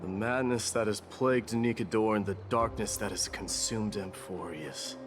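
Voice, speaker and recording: An actor playing a stoic, proud warrior demigod in a video game, speaking formally and resolutely over music. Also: male voice, fairly low pitch, moderate pace, natural voice. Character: stoic, proud warrior prince demigod, devoted to protecting others. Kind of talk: dramatic video game voice acting.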